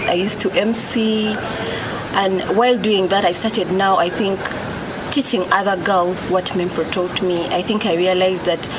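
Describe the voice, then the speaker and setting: A woman in her thirties, a former program participant, telling her story in a measured, reflective way for a documentary. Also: fairly low pitch. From eastern Uganda. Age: 30s. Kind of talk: documentary testimony. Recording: loud background noise.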